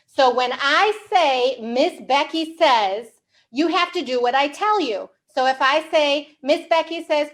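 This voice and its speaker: Slow, high-voiced woman leading children's storytime. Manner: exaggerated, encouraging, with simple questions to young listeners; slow, simple wording for English learners. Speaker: children's storytime librarian host. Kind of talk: animated read-aloud and teaching for young children.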